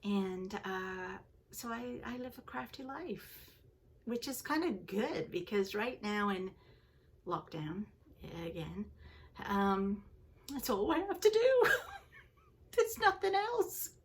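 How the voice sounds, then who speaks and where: An older woman from England with a softened Liverpool accent, hosting a knitting podcast from home and chatting casually with the viewer. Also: medium pitch, animated, slow, long pauses, a little hiss or hum. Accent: Canadian-influenced English, originally from Liverpool. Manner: chatty, rambling, self-deprecating. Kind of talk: casual chat to camera. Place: home, seated indoors.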